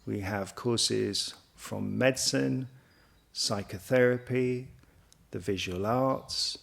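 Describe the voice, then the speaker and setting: A man on a college's staff speaking earnestly and deliberately in a promotional interview. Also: fairly low pitch, gravelly, a bit bright. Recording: music behind the voice. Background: background music.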